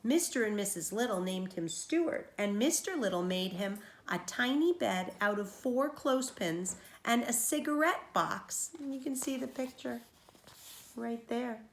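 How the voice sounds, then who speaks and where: A woman's voice: fairly high pitch, animated, unhurried, roomy sound, slight background noise. A woman teacher reading a children's storybook aloud, explaining things to young listeners as she goes.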